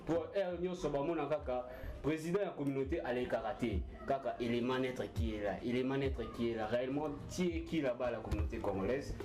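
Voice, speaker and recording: A man's voice: medium pitch, fast, few pauses, over music. A male interview host who chats casually, switching between French and Lingala and pressing his guest with follow-up questions.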